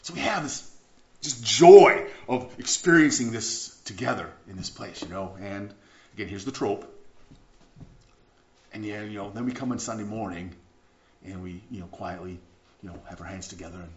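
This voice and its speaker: A man preaching a sermon as pastor, chatty and animated, often restarting his sentences. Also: lowish voice, moderate pace, pauses often. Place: church sanctuary. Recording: echoey church room, slightly dull sound.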